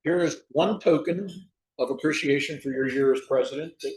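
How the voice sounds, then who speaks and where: A man's voice: on the high side, fairly quick. Joking man leading a meeting. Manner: joking, easygoing. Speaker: club president leading the meeting. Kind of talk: casual meeting emcee. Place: meeting room. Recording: echoey meeting room.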